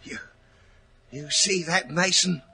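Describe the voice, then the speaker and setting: An actor playing a superstitious, nervous butler in a 1940s radio drama, fearful and dramatic. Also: male voice, sits high, takes it slowly, very noisy. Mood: frightened. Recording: old 1940s radio recording.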